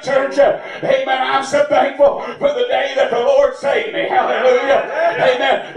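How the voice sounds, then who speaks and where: A hoarse middle-aged man preaching a sermon as pastor, filling every pause with "uh" and "amen.". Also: extremely high pitch, far from the mic. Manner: preaching in bursts with constant "uh" and "amen" fillers. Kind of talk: shouted sermon. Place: church sanctuary. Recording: echoey church hall from afar. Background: congregation.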